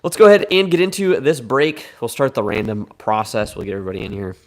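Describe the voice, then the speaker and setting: A man's voice: mid-range voice, fast. A man with a Midwestern American accent hosting a live card break, slightly congested from a cold, chatty and upbeat as he reads out names and teams.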